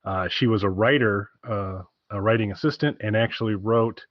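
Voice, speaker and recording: A man's voice: lowish voice, moderate pace, no room sound, lo-fi sound. A man co-hosting a casual podcast, talking in an enthusiastic, rambling way and repeating himself, recorded over an internet call. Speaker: podcast co-host.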